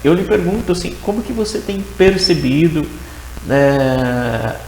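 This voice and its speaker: A man's voice: fairly high pitch, animated, a little slow, vocal fry, a little mellow, reverberant, in a large hall, slight background noise, rough recording. A man hosting a TV interview, asking questions and answering briefly in agreement. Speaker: TV host and interviewer.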